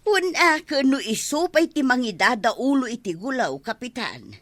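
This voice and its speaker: A woman with an Ilocano accent acting as an excitable, mocking villager in a radio comedy drama. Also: sits high, moderate pace, no room sound, exaggerated voice. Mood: scolding, complaining.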